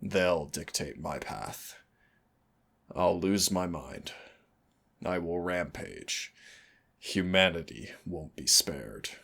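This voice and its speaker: A brooding immortal demon voiced by a man speaks in a very deep voice. He takes his time over each phrase, suave and darkly affectionate, with solemn drama suited to an intimate audio roleplay. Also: lively intonation, vocal fry, fairly bright tone, breathy edge, full character voice. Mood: grave, vengeful. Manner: teasing endearments, measured, solemn dramatic delivery. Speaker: demon hunter's mentor and partner.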